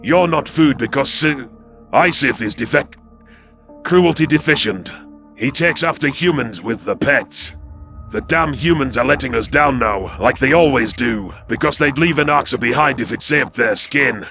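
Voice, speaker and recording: A man voicing a hostile, contemptuous alien predator soldier with a gravelly, sharp, sneering delivery in a sci-fi audio drama. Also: medium pitch, normal speed, faint music behind, pitched above the performer's own voice. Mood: bitter, distrustful. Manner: sneering, spitting.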